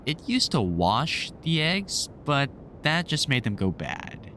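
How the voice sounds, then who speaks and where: A man's voice: a little high, big pitch swings, slow, bright, forceful tone, slight background noise, exaggerated voice. A man playing a sardonic, protective vampire hunter in a roleplay audio drama, sweary and teasing like an exasperated but fond mentor. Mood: explaining fondly.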